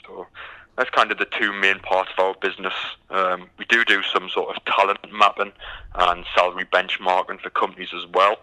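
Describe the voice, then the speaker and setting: A man's voice: low-pitched, flat delivery, normal speed, pushed and bright, low-quality recording. A British man from the UK, a recruitment firm founder answering interview questions with many ums and restarts.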